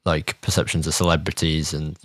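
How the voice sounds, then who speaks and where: A man's voice: rumbling low, flat delivery, a little fast, dry recording. A man co-hosting a casual review podcast, speaking hesitantly as he searches for words.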